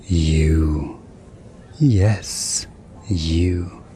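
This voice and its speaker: A man playing a calm, gently coaxing hypnotist in an ASMR roleplay, speaking in a hushed, lulling way with long pauses. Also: lowish voice, a little hiss or hum, heavily acted.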